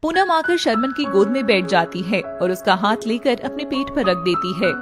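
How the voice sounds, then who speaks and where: A woman's voice: medium pitch, animated, moderate pace. A woman reading a scripted, dramatic story as its narrator. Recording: music playing behind the voice. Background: background music.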